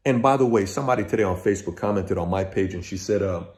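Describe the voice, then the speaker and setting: A man's voice: low-pitched, fast, a little mellow. Emphatic man hosting his own channel. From Iran. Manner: emphatic, talks in lists with frequent restarts. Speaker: channel host. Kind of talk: direct-to-camera commentary.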